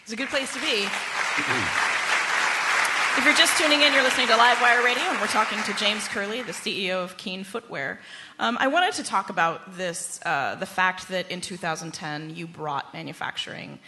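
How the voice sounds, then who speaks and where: A woman's voice: medium pitch, moderate pace, over a noisy crowd, very noisy. A woman hosting a live radio show and interviewing a guest in a conversational way. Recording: live show with audience sound behind.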